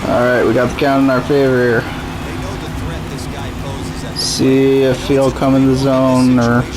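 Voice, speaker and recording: A man's voice: medium pitch, little pitch movement, a little slow. Young man with a sore throat. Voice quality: voice strained and rough. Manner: laid-back, self-deprecating. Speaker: gaming YouTuber. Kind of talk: casual gameplay commentary. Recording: noisy home recording.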